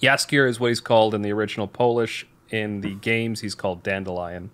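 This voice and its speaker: A man's voice: on the low side, steady tone, fairly quick, fairly bright tone, clean tone. A man co-hosting a podcast in casual chat, blunt and opinionated in how he talks. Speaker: podcast co-host.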